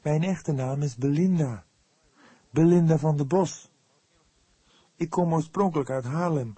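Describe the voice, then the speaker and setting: Male narrator as devout young woman, raised pitch, earnest, solemn, defensive, emphatic, audiobook character. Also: measured pace, dark tone, breathy edge, no echo. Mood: earnest, pained.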